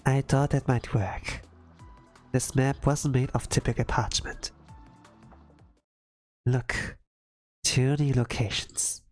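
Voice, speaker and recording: Young female game researcher, voiced by a readalong streamer: thoughtful, quietly determined, medium pitch, musing delivery, music underneath. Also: fairly even intonation, slow pace, long pauses, no room sound, lightly acted. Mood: quietly pleased.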